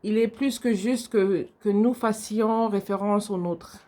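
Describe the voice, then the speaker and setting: A woman moderating a religious live stream, asking questions and reading viewer comments in a plain, measured way. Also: mid-range voice, steady tone, warm tone.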